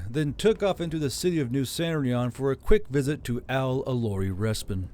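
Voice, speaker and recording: A man reading a fantasy novel chapter aloud with a steady, expressive delivery. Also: mid-range voice. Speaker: audiobook narrator. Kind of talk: storybook reading.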